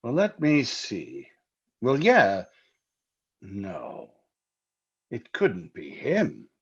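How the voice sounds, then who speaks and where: An actor playing a skeptical, protective, indignant husband in a radio mystery, with a blustery, emphatic delivery. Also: male voice, lowish voice, huge pitch swings, extremely slow, slightly rough, dull audio, exaggerated voice. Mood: taken aback. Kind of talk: old-time radio mystery drama acting.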